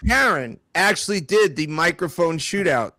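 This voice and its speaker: A man's voice: on the high side, lively intonation, normal speed, pushed and bright, no room sound. A man hosting a casual chat, cutting in with dry, teasing asides. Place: small room with several people around mics.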